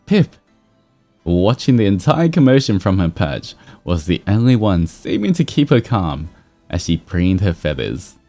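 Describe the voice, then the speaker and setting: Deep, slow-paced man with animated delivery. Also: faint music behind. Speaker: livestream host reading fan fiction aloud. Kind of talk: expressive story reading mixed with casual chat.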